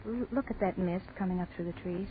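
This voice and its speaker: An actress playing a timid, uneasy college student in a 1940s radio drama, with a nervous, halting delivery. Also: female voice, on the low side, narrow, tinny audio, natural voice. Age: young adult. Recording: scratchy, thin 1940s radio recording.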